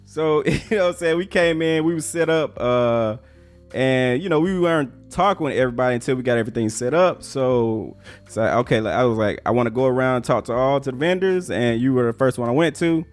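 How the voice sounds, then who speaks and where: A man's voice: medium pitch, fairly quick, smooth, soft background music. An American man hosting a casual interview in a laid-back, slangy way. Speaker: interviewer, host.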